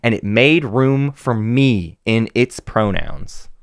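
Animated man on a podcast, dry close mic. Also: lowish voice, smooth, no echo. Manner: animated, rising to excited emphasis, halting restarts. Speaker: podcast co-host. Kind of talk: casual book-club chat and poetry reading.

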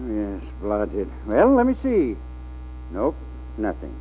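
A man's voice: on the low side, wildly swooping pitch, takes it slowly, slight background noise, heavily acted. An actor playing a folksy older hotel man in a 1940s radio drama, on a tinny old recording with music. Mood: chatty.

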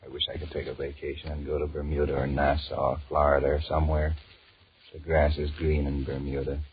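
A man's voice: a bass voice, monotone, a little fast. An actor in a 1940s radio drama playing a weary, restless married businessman who grumbles and wheedles as he flirts with his secretary. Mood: weary, wistful. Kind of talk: 1940s radio drama acting, inner monologue and dialogue. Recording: muffled, hissy 1940s radio recording.

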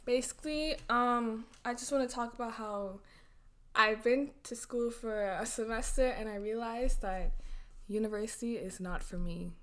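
A young woman in her twenties chatting casually as a podcast guest, rambling with lots of fillers and quick giggles. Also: a little high, fairly bright tone. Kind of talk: casual chat.

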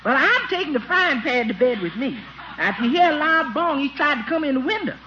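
An actress playing a feisty, jittery, outspoken housekeeper in 1940s radio comedy, with blunt delivery. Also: female voice, sits high, very animated, a little fast. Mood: sassy, nervous. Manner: blunt, sassy comebacks. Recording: muffled radio with loud audience noise.

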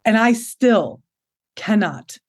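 A woman in her thirties with a low voice, speaking openly and expressively as a guest in an interview, laughing often. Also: animated, slow, slightly rough. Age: 30s. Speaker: podcast guest. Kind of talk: candid conversational interview.

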